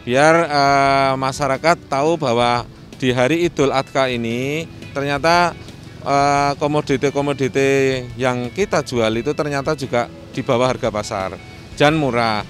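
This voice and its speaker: A middle-aged man with a Javanese Indonesian accent, an agriculture office official speaking on camera outdoors at a street market, pausing often with fillers. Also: medium pitch, faint music behind. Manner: hesitant, with frequent fillers. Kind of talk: on-camera interview. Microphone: handheld station microphone.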